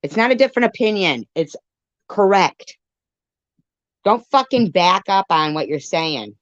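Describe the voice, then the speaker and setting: Woman live streamer, slow and low, argumentative and sweary, close dry sound on a dull lo-fi stream. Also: very animated, dry recording. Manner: blunt, contradicting, repetitive, swearing. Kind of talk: off-the-cuff live stream commentary on played audio. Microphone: phone on a live stream.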